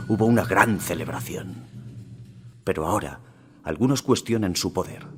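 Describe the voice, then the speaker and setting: A man with a Castilian Spanish accent playing a grave Aztec jaguar warrior, narrating his campaigns in a measured way for a strategy game cutscene. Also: deep voice, lightly acted. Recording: music under the voice. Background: music.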